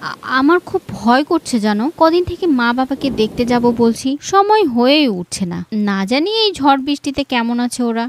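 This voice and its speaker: A woman's voice: sits high, big pitch swings, fairly quick. A woman with a Bangladeshi accent playing a worried, caring married daughter in a cartoon, anxious and tender. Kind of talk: cartoon dialogue and storytelling. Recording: faint background noise.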